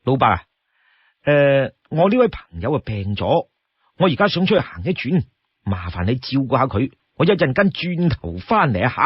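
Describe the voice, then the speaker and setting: A man voicing a composed, polite young male outlaw in Cantonese, brisk and smooth-talking in a storybook tale. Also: mid-range voice, very animated, dry recording, dull audio. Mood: polite, unruffled.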